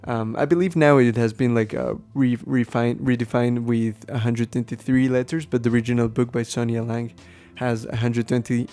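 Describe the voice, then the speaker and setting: A man whose first language is Spanish, explaining things casually and haltingly as a podcast host, thinking aloud as he goes. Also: mid-range voice, level tone, dark tone. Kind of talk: casual unscripted explaining to listeners.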